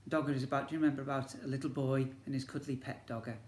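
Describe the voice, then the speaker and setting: A man's voice: mid-range voice, level tone, rapid-fire, darkish tone. A man, a teacher reading a children's story expressively and breaking off to ask his young listeners questions. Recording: echoey room.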